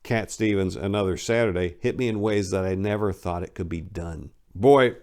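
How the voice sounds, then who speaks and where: Man, American accent, fairly low pitch, first-person storytelling, wry and self-mocking, conversational dramatic reading. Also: normal speed, a little mellow. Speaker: memoir narrator.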